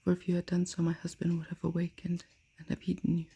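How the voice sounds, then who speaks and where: A bossy giantess from a storybook, whispered by a woman. The breathy whisper stays dark and utterly flat, with a gravelly edge. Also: on the low side, quick pace, exaggerated voice. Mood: urgent. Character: bossy giantess, housewife of a man-eater.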